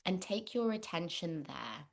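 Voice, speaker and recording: Slow, low-pitched woman guiding meditation. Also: very animated, vocal fry. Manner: gentle, unhurried, with long pauses. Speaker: meditation guide, therapist. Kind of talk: guided meditation, spoken instruction.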